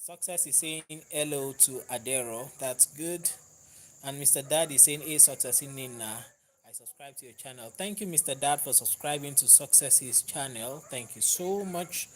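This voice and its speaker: A man's voice: medium pitch, normal speed, slight background noise. Nigerian man chatting on a live stream. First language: Yoruba. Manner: conversational, warm thanks to viewers, laughs easily. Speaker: livestream host. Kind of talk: casual live chat, reading viewer comments.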